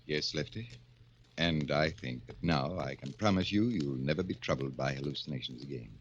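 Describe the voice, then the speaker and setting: Deep-voiced, clinical radio psychiatrist. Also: male voice. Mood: calm, clinical. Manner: measured, clinical. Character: calm, calculating male psychiatrist. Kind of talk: old-time radio drama acting. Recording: muffled, hissy old radio recording.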